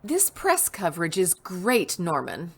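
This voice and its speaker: An actress playing a smooth-talking, confident and secretive female villain boss in an audio drama. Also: lowish voice, wildly swooping pitch, measured pace, exaggerated voice. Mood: pleased. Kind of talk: naturalistic audio drama acting.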